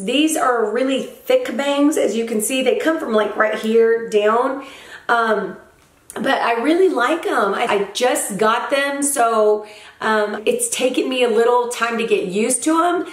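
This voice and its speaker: A young woman's voice, scratchy and hoarse from a recent cold, sits a little high as she talks eagerly through her favorite beauty products. She chats casually, with plenty of "like" and "um". The sound carries the echo of a roomy space at home. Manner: chatty, enthusiastic, frequent "like" and "um". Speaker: beauty vlogger.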